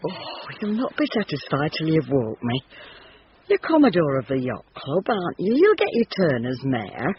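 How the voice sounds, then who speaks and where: An actress playing an earthy, exasperated, teasing councillor's wife in a British radio drama. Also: female voice, deep voice, wildly swooping pitch, normal speed. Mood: sleepy, annoyed. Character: woken, nagging, earthy wife of a town councillor. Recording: dull, noisy lo-fi radio recording.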